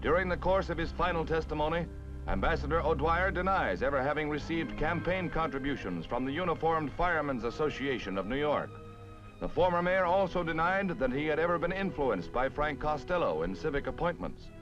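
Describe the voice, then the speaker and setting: A man's voice: on the high side, lively intonation, brisk. An American man narrating a 1950s newsreel in a punchy, dramatic announcer style. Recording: dull vintage newsreel soundtrack with music.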